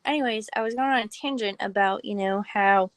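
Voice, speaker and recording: A woman's voice: medium pitch, fairly quick, clean tone, dry recording. Giggly young woman on a phone. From Massachusetts. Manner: unsure, giggly, rambling. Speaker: host's daughter, co-host. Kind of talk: casual live chat.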